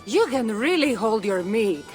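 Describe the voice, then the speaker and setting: A woman's voice: fairly high pitch, big pitch swings, a little slow, a little mellow, faint music behind. An actress voicing a wry, easygoing Greek warrior woman in a video game cutscene. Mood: teasing.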